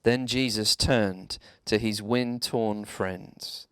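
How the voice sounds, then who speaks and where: A man, a reverend, telling a children's bedtime story in a measured, dramatic way with deliberate pauses. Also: fairly low pitch, fairly even intonation, fairly bright tone, clean tone, no room sound, clean studio sound.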